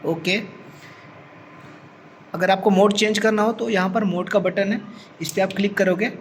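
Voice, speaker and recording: A man's voice: high voice, steady tone, normal speed, a little hiss or hum. A man presenting a practical tech demonstration step by step, repeating points and mixing English terms into his speech.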